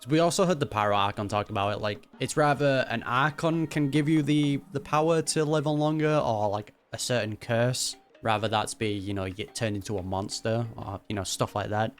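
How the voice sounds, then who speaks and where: A man's voice: mid-range voice, brisk, few pauses, faint music behind. A man giving casual, slangy reaction commentary as he plays a game, musing informally as a streamer. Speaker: gaming streamer.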